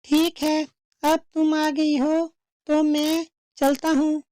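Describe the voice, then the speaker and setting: A woman voicing a sly, smooth-talking male crow with a nosy, falsely friendly manner in a cartoon story. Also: high voice, flat delivery, slow pace, mellow, no echo, studio quality. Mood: placating. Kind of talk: slow cartoon story dialogue.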